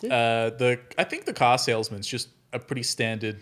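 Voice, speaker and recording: A man's voice: mid-range voice, very animated, normal speed, a bit bright. A man, improvising in a casual group tabletop game chat. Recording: music playing under the voice. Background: music.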